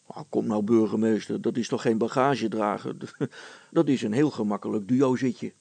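A man's voice: lowish voice, moderate pace, vocal fry, a little mellow, husky, no room sound. A man voicing a cheerful, confident village smith and inventor in a storybook, breezy, reassuring and matter-of-fact.

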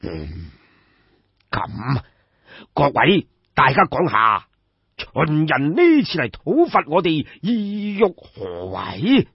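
A man with a Cantonese accent voicing a weary, authoritative old king of ancient China, slow and pondering, in an audio story. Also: fairly high pitch, wildly swooping pitch, creaky, breathy edge, dry recording, lo-fi sound, full character voice.

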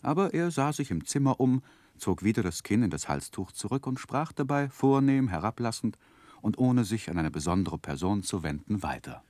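A gentleman looking back on his youth as narrator of a radio play. His low voice moves expressively through literary prose, wry and reflective, in a dry studio sound. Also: sing-song, moderate pace, dry recording. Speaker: first-person narrator.

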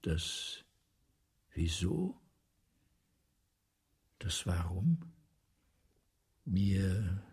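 Radio drama: weary, hesitant man of letters, slow and ponderous, raspy, breathy, formally polite. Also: low voice, very animated, darkish tone, heavily acted. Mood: hesitant, pleading. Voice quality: gravelly, breathy. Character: brooding, weary male writer, courteous and hesitant. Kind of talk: German radio play acting.

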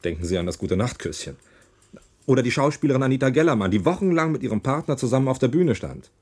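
An actor playing a stubborn, hot-headed research doctor in a naturalistic radio play, emphatic and given to repeating himself. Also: male voice, medium pitch, big pitch swings, fast talker, darkish tone, slightly rough, no room sound. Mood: earnest, insistent.

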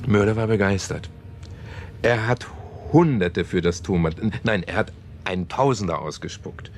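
An actor playing a man in a German radio play who haltingly retells a crime novel, often correcting himself. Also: fairly low pitch, a little hiss or hum, natural voice. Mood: absorbed storytelling. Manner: halting, self-correcting storyteller.

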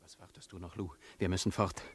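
An actor playing an impatient, hard-headed revolutionary accomplice, curt and pressing, husky-voiced, in a German radio drama. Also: male voice, low voice, level tone, brisk, no echo. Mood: impatient, insistent.

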